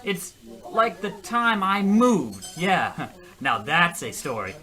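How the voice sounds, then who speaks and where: A man's voice: sits high, very animated, slow pace, pushed and bright, exaggerated voice. A man playing an oblivious, self-pitying husband in a comedic radio play, earnest, defensive and rambling. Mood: flustered, rambling. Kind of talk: comedic old-time radio play acting.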